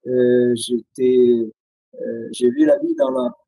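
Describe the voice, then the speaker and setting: Older Syrian man, Arabic accent, halting. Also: medium pitch, wildly swooping pitch, vocal fry, warm tone, breathy edge, no echo, rough recording. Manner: halting, many hesitations and restarts. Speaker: guest. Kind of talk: remote interview, personal account. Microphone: video call.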